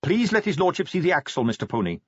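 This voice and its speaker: An earnest, polite young captain of the watch, bright-voiced and eager to explain, as a man puts him on. His voice rises and falls freely, and the read sounds slightly dull. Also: a little high, sing-song, quick pace, slightly rough, no room sound, voice pushed up.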